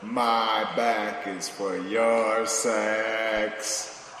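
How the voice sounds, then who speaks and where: A man acts a servile hanger-on in an audio drama, lowish and very slow, with a drawling sing-song lilt. The voice sits far off in a noisy, heavily echoing space. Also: flat delivery, a bit bright. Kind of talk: theatrical audio drama dialogue. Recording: distant, very echoey, noisy recording.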